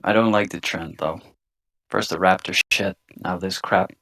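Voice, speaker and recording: A man playing a jaded, grumbling scientist in a tabletop roleplaying game, with gruff, profane, world-weary talk. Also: low voice, fairly even intonation, moderate pace, a bit bright. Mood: disgruntled. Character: jaded, grumbling male union scientist with medical training. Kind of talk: tabletop roleplaying, improvised dialogue.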